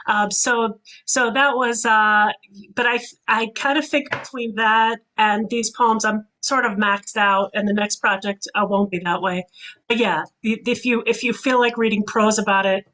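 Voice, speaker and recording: A woman whose first language is Hebrew, a guest author talking animatedly on a video call with quick restarts. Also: a little high, steady tone, sharp tone, poor audio. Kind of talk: casual panel discussion.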